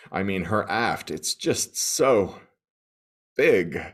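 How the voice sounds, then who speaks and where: A man's voice: low voice, pitched above the performer's own voice, full character voice. A snooty young valley girl, acted out by a man, gossips with drawling, exaggerated disgust. The delivery swoops up and down and stretches words out for a comic reading.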